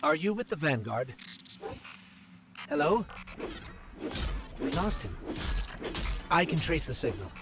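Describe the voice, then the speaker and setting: An actor playing a wary, earnest robot companion in a video game, articulate and questioning. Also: male voice, on the high side, sing-song, slow, long pauses.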